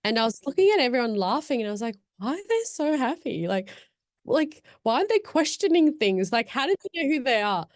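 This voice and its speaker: A woman's voice: a little high, very animated, a little fast, no echo. Young woman guest, reflective and chatty, dry close recording. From Afghan, born in Pakistan, raised in Australia. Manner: thoughtful, rambling, many fillers and restarts. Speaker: podcast guest. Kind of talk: casual reflective chat.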